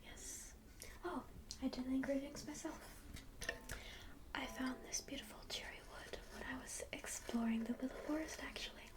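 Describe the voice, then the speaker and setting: A woman voicing a kindly, chatty cat-like spellcaster in a soft, breathy whisper for a fantasy ASMR roleplay. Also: high-pitched, moderate pace, close to an everyday voice. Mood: chatty, proud. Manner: gentle, welcoming, rambling, musing.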